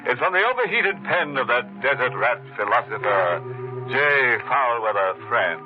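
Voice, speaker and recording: Actor as a wry, animated macabre radio host. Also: male voice, medium pitch, moderate pace, close to an everyday voice. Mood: ghoulishly amused. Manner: wry, gleeful. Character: macabre, punning, mock-jovial horror show host. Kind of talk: 1940s radio horror hosting. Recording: muffled 1940s radio recording, music behind.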